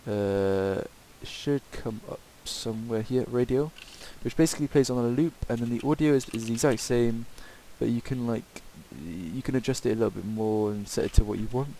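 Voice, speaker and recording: Guy doing a casual screen tutorial, really mellow voice, bit rambly, faint hiss on the mic. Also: mid-range voice, normal speed, hardly any pauses, low-quality recording. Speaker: tutorial presenter. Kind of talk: casual screen-recorded software tutorial, improvised. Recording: hissy home microphone recording.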